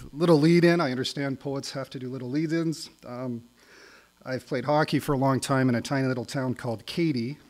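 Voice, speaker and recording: A middle-aged Canadian man performing as a spoken word poet on stage, with a wry, deliberate delivery. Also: medium pitch. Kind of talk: live poetry recital with casual lead-in.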